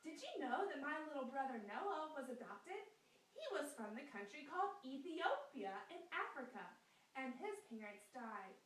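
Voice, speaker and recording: A bright, high, lively girl puppet, voiced by a woman, earnest and explanatory in a sing-song storybook manner. Her voice swoops up and down with a sharp, brilliant edge. She sounds set back from the mic in an echoey room. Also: normal speed, recorded from a distance, a little hiss or hum. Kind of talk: scripted puppet skit read aloud.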